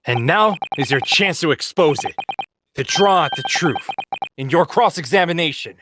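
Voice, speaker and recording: A man voicing a calm, earnest, encouraging ally in a live comedic game dub. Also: a little high, moderate pace, noisy background, natural voice. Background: game music.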